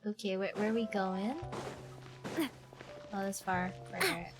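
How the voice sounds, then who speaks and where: A woman's voice: medium pitch, steady tone, a little slow. A woman streaming a video game live, reading its text aloud and chatting casually. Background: game music.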